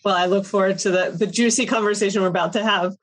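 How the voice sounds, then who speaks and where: A woman co-hosts a podcast with an upbeat, chatty manner. She has a cold and speaks while getting over it. Her pitch sits in a typical middle range. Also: steady tone, fast talker. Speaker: podcast co-host. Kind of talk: casual podcast hosting.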